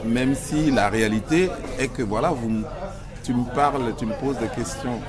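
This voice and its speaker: A man's voice: mid-range voice, normal speed, crowd noise. A man whose first language is not French, answering questions in an interview with emphatic, building phrases.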